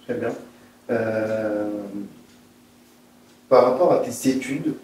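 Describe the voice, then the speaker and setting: A man's voice: mid-range voice, slow, a little mellow. Distant male interviewer in an echoey room. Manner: hesitant, loosely phrased. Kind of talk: informal interview questioning. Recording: very echoey room, distant mic, faint noise.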